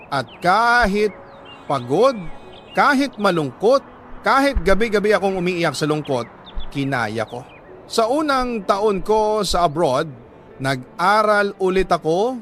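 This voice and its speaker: Filipino man, slightly high voice, dramatic scripted narration, earnest and emotive, deliberate pauses, some background noise. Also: fairly bright tone. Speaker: radio drama narrator reading a letter sender's story. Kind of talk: dramatic first-person scripted storytelling.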